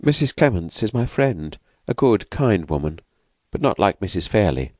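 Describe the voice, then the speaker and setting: A man voicing a frightened, fragile young woman who has escaped from an asylum, speaking softly and hesitantly. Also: lowish voice, big pitch swings, normal speed, dry recording, clean studio sound, voice pushed up. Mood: fearful, wistful. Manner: hesitant, vacant, soft-spoken.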